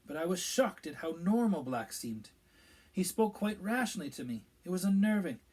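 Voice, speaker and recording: A man's voice: sits high, sing-song, moderate pace, a little mellow, breathy edge, pitched above the performer's own voice, heavily acted. A man voicing a self-important middle-aged male government minister who talks in a pompous, evasive way. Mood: solemn, pompous.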